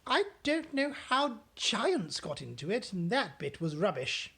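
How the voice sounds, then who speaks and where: A woman voicing a refined queen who is gracious but easily shaken, with a slow, plummy, exclaiming manner, reading a storybook aloud. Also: mid-range voice, very animated, fairly bright tone, husky, pitched above the performer's own voice, exaggerated voice. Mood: dismayed.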